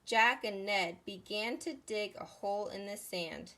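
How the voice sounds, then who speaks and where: A woman teacher reading a children's story aloud and explaining it in a deliberate way for first graders. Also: mid-range voice, sharp tone. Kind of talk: read-aloud story narration and lesson instruction.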